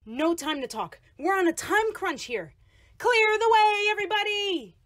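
A woman voicing a bold, brash and protective young female monster running a game booth in a cartoon, forceful and casual. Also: very high voice, animated, measured pace, noisy background. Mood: urgent. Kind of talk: animated web series voice acting.

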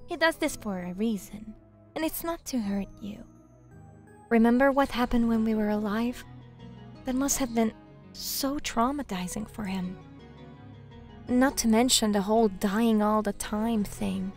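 A woman playing a sweet but sassy, motherly big sister demon in an animated comic dub, coaxing and excitable. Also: a little high, measured pace, long pauses, soft background music. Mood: soothing.

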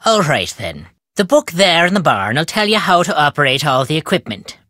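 Lilting, gruff old Irishman. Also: fairly high pitch, sing-song, moderate pace, bright, forceful tone, no echo, clean studio sound. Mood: brisk, bossy. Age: older. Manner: folksy inverted phrasing, storytelling lilt. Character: gruff, superstitious old Irish countryman, wry and stubborn. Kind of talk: video game character dialogue.

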